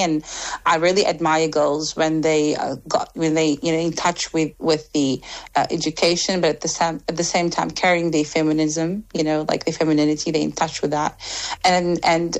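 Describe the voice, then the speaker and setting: Low, gravelly woman. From Egypt. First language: Arabic. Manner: devout, speaks with frequent Arabic phrases and restarts. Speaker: guest youth worker. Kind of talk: radio interview.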